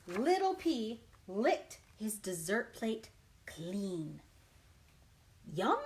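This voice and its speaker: A woman's voice: mid-range voice, sing-song, very slow, a little hiss or hum. A woman working as a children's librarian, leading storytime with an exaggerated, encouraging manner and questions to young listeners. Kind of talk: animated children's storytime read-aloud and chat.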